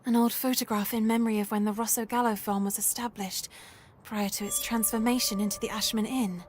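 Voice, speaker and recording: An actress playing a rebellious, hot-tempered young maid in a dramatic video game, speaking emphatically. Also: female voice, on the high side, steady tone, a little fast, a little hiss or hum, close to an everyday voice. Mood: hushed, bewildered.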